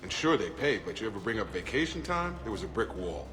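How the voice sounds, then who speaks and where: A man playing an earnest, steady soldier in a video game, sincere and down-to-earth. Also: fairly low pitch, fast. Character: earnest, steady male soldier and expectant father. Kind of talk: scripted game dialogue. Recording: music under the voice.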